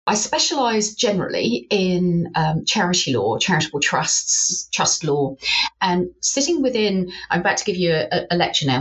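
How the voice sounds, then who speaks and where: A woman with an English accent, a law academic talking through her research in a lively, self-deprecating way with frequent restarts. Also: on the low side, rough recording. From England. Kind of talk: conversational interview, explanatory.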